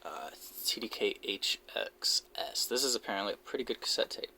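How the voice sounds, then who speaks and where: A man giving casual, unscripted show-and-tell commentary in a low-key, halting way, as if musing to himself. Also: fairly even intonation, vocal fry. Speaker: hobbyist video creator showing a cassette collection. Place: basement, indoors. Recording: noisy amateur audio with clicks and handling noise.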